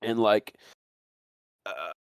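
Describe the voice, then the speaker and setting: A man with a fairly low voice talks in a casual, speculative way. He rambles and doubles back on phrases as a panelist would in loose discussion. The sound is dry, with little room around it. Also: clear, resonant tone, dry recording. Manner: rambling, halting with repetitions. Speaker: talk show panelist.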